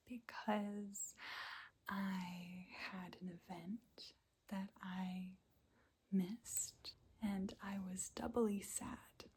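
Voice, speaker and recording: A woman hosting an ASMR channel, giving a life update in a soft, unvoiced whisper with small quiet laughs. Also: mid-range voice, slight background noise.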